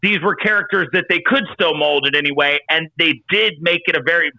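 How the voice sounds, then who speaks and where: A man with a very sharp, bright voice, set a little high, talks casually on a panel. The sound is dry but dull and band-limited. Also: brisk, creaky, no echo. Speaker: podcast panelist. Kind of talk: casual chat about comic books.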